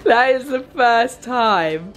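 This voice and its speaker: A young woman with a croaky voice, chatting casually to camera in a vlog and teasing her partner. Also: a little high, lively intonation, takes it slowly, faint music behind. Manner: chatty, teasing, laughs often. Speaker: vlogger.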